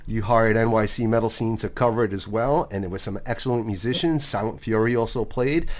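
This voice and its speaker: A man hosting a metal radio show, affable with quick affirmations. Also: on the low side, a little mellow. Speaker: radio host. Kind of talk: casual radio interview.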